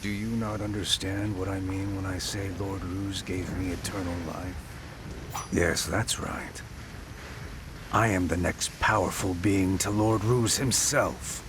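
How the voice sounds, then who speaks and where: An actor playing an arrogant, taunting undead villain in a video game, boastful and threatening. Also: male voice, deep voice, fairly even intonation, unhurried, background noise. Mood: smug menace. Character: menacing undead villain granted eternal life, arrogant and taunting.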